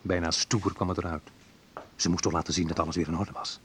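An actor playing a wistful man recounting his youth in a reflective, measured radio play narration, with a slightly husky voice. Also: sits low, level tone, a bit bright, a little hiss or hum. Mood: subdued. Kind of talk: literary first-person radio play narration.

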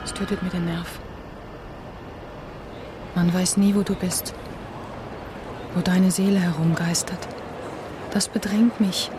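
A woman's voice: lowish voice, level tone, slow pace, pauses often. An actress playing a weary, elusive woman parting from the man she loves in a radio drama. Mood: weary, resigned. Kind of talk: German radio drama acting. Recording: loud urban railway station noise. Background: station ambience, vehicles.

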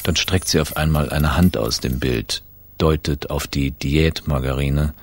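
A man's voice: extremely low pitch, unhurried, soft background music, full character voice. An actor playing a smug, besotted cosmetic surgeon in a radio drama monologue, savouring his phrases with irony. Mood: drowsy, contented.

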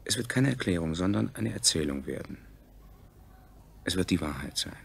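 An actor playing the solemn chronicler of a subjugated future people, narrating a scripted science fiction radio drama in a measured, declamatory way with a gravelly, husky voice. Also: male voice, sits low, animated, a bit bright, full character voice. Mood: solemn, insistent.

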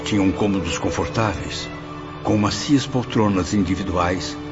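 An actor playing a remorseful man, a soul rescued from darkness, who narrates his memories and snaps at his companions. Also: lowish voice. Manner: emotional, sharp-tongued with companions. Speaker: first-person narrator and lead. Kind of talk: radio drama acting. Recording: dull lo-fi audio with music bed.

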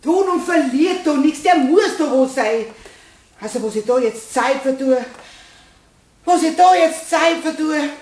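A hard-working Bavarian cleaning woman in a radio play. Her voice is fairly high, and she exclaims, grumbles and repeats herself in a flustered mutter. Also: expressive, unhurried, darkish tone. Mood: indignant, flustered. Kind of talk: German radio play acting.

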